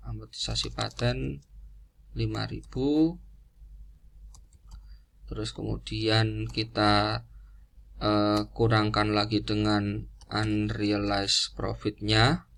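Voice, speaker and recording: A man's voice: lowish voice, level tone, takes it slowly, creaky, long pauses, fairly bright tone. A man giving an unscripted lesson, explaining step by step in a didactic way and repeating key figures. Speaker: accounting lecturer.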